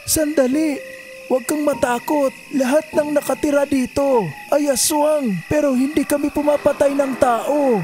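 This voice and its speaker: High, reassuring old villager, played by a man. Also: steady tone, a little slow, a little mellow, a little hiss or hum. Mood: calm, reassuring.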